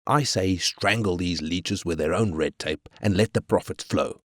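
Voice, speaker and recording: A man's voice: deep voice, moderate pace, dry recording, studio quality, natural voice. A man playing a ruthless, arrogant corporate tycoon, brash and cynical, in scripted video game lines. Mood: aggrieved, scornful. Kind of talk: scripted game character quotes.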